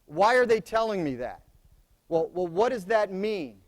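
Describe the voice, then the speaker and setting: A soft-spoken middle-aged American man giving opening remarks at a conference, plainspoken and repeating key phrases for emphasis. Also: high-pitched, very animated, measured pace. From United States. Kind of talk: conference speech to students.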